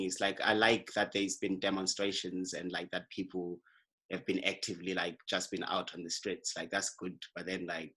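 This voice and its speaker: Deep, husky man with a bright edge. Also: poor audio. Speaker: podcast host. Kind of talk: casual podcast discussion.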